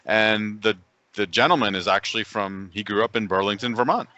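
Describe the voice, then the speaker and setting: A man co-hosting a podcast, chatting casually with a loud voice over a video call from a coffee shop. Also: fairly low pitch, fairly quick, dry recording. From New York State, USA. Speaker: podcast co-host. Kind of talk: casual chat. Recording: dull lo-fi call audio.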